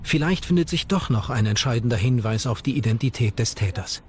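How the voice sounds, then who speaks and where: A man's voice: medium pitch, lively intonation, brisk, darkish tone, slight background noise. A man reading scripted true-crime documentary narration. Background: background music.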